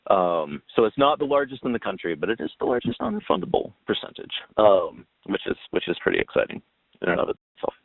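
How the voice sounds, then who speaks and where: A low-pitched man talks through slides on a webinar, picking his way along in halting, informal explanations. The audio is muffled and lo-fi, like a conference call, with no room sound at all. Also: sing-song, creaky, slightly rough, dry recording. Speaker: webinar presenter on tax credit policy. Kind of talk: presenting a slide talk. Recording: dull lo-fi call audio, very dry.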